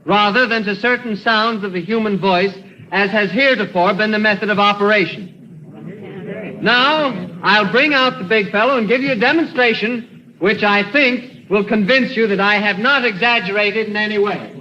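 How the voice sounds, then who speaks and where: An actor playing an eager, nervous inventor who hesitates and stammers, in 1940s radio acting style. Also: male voice, sits high, normal speed, pushed and bright, a little hiss or hum. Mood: presenting. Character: eager, nervous middle-aged male inventor. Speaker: inventor presenting his machine. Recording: dull old radio broadcast.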